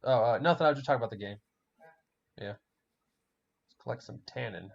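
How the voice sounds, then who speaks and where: A man's voice: medium pitch, a little fast, vocal fry. A man with a German accent chatting casually while playing a game, rambling, swearing and laughing often. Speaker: podcast host playing a game. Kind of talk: casual chat while gaming. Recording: dull lo-fi recording with faint music.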